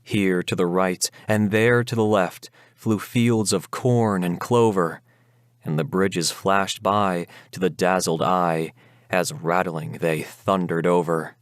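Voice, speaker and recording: Male narrator for Gothic balladry: deep register, theatrical, measured verse reading, dry studio-like sound. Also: fairly even intonation, dry recording. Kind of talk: dramatic recitation of a Gothic ballad.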